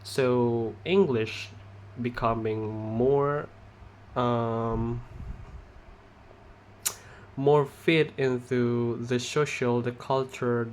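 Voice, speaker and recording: Young indonesian guy presenting in english, really slow, lots of uh and restarts, voice sits mid-range, faint hum behind. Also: medium pitch. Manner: halting, many pauses and restarts. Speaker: student presenter. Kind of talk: class presentation.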